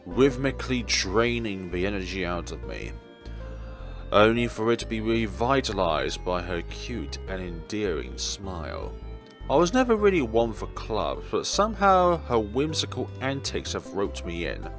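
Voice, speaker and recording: A man reading a visual novel story aloud in an expressive, dramatic way, as a let's player. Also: on the low side, a little slow. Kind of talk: expressive read-aloud narration of game text. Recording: music playing behind the voice. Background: game background music.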